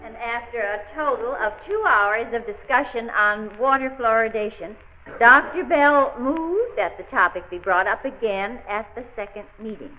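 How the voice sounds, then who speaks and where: A slightly dull vintage radio recording of an actress playing a lady committee secretary. Her voice is fairly high, and she speaks formally, with prim courtesy, in 1940s radio style. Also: normal speed, slight background noise, close to an everyday voice. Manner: formal, reading aloud, primly courteous. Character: proper, polite lady secretary reading meeting minutes.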